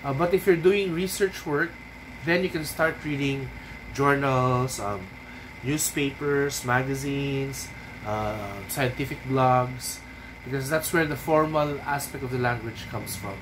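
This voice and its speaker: Filipino man, 30s, writing coach, mid-pitched, relaxed thoughtful delivery, home webcam with steady hum. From Philippines. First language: Cebuano. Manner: unhurried, thoughtful, frequent ums and tag "no?". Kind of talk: casual advice talk to camera. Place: small room at home, indoors. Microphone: webcam at desk.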